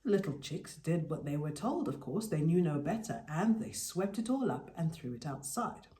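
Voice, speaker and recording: Animated low-pitched woman storyteller, roomy sound. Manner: dramatic, deliberate phrasing with emphatic pauses. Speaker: storyteller, podcast host. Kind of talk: expressive oral folktale narration.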